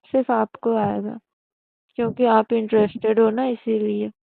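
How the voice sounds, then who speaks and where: A woman's voice: on the high side, flat delivery, unhurried, gravelly, dry recording. A woman chatting casually and intimately on a phone call, in a coy, hesitant, questioning manner. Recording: tinny, band-limited phone call recording.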